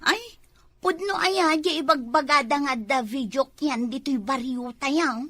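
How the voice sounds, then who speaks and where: A woman's voice: sits high, measured pace, sharp tone, no room sound. A woman playing a cheeky, gossipy village wife in an Ilocano comedy drama, with an Ilocano accent. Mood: teasing, gossipy. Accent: Ilocano, northern Philippines.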